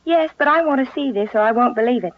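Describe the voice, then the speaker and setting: Actress as a dry, teasing wife, muffled radio. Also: female voice, on the high side, fast talker, no echo, close to an everyday voice. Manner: deadpan sarcasm. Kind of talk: 1940s-1950s radio comedy acting. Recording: muffled old radio recording.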